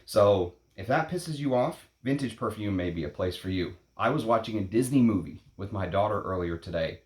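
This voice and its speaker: Low-voiced man in his late thirties rambling. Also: animated, moderate pace, darkish tone. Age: 30s. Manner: rambling and opinionated, blunt with swearing. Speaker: perfume channel host. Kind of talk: casual unscripted vlog talk. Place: at home in Texas.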